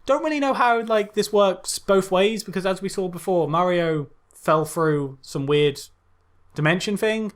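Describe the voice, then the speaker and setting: A man's voice: high-pitched, measured pace. A man co-hosting a podcast in casual film critique banter, hesitant and often repeating his phrases. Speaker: podcast co-host.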